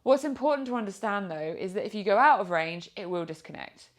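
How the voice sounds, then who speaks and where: A woman's voice: mid-range voice, expressive, brisk. A woman with a British accent giving an informal product review in a chatty, explanatory way. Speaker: product reviewer and motorcycle vlogger.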